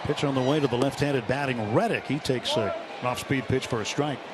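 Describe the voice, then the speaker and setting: A man's voice: medium pitch, brisk. A man doing live radio play-by-play commentary of a baseball game from a ballpark booth. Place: open broadcast booth at a ballpark. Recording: noisy live stadium radio broadcast. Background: loud outdoor ballpark ambience.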